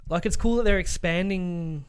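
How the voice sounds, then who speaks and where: A man's voice: high voice, normal speed, smooth. A man co-hosting a movie podcast, giving blunt opinions in casual conversation. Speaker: podcast co-host.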